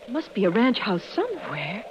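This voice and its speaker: A woman acting an anxious, pleading frontier wife in a 1950s radio western, with halting, emotional delivery. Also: a little high, huge pitch swings. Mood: distressed, pleading. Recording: muffled, noisy old radio recording.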